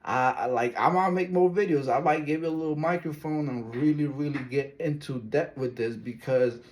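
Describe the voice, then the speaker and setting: A man's voice: a little high, moderate pace, darkish tone. A man from Ecuador giving casual, emphatic reaction commentary, repeating his key words and using loose street slang. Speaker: video reactor.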